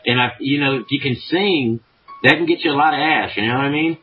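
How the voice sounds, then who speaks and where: A man's voice: mid-range voice, quick pace. Chill guy rambling on a podcast, swears a lot, bit dull sound, faint music under it. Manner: laid-back, profane, rambling with many fillers. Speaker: podcast guest, show promoter. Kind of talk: casual chat.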